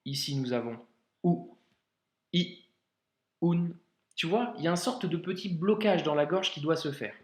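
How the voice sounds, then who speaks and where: A man's voice: on the high side, expressive, unhurried, pauses often, roomy sound. A French man teaching how to read Arabic, talking casually and reassuringly straight to the learner. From France. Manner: casual, reassuring, frequent "hein" and "d'accord". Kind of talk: friendly tutorial speaking directly to the learner.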